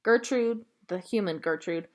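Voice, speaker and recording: A woman voicing a shy, lonely, gentle male pet-store clerk from a storybook, with halting, folksy talk. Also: high-pitched. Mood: earnest, plain.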